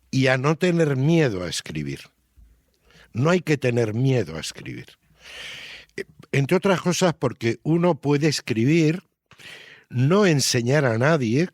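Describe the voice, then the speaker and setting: A man's voice: mid-range voice, no echo. A man speaks in a mid-range voice, captured dry with hardly any room sound. He talks as an interviewee, explaining reflectively like a teacher. He restates key points and adds little tag questions. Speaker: interviewed children's book author. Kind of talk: conversational interview answers.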